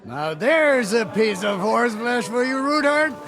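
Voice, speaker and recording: A man's voice: very high-pitched, very animated, measured pace, exaggerated voice. Hearty medieval noble in a game, bantering, takes his time, music playing behind. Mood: jovial, mocking. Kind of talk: game cutscene dialogue.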